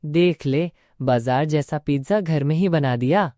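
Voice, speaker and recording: A woman's voice: sits low, measured pace, warm tone, no room sound, clean studio sound. A woman voicing a bossy, demanding middle-aged mother-in-law in a cartoon story. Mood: teasing. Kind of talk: cartoon voice acting.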